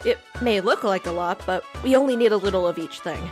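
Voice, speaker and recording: An actress playing a friendly, courteous creature who keeps a cafe in an audio drama. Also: female voice, mid-range voice, fast talker, loud music behind the voice, natural voice. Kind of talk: audio drama character acting.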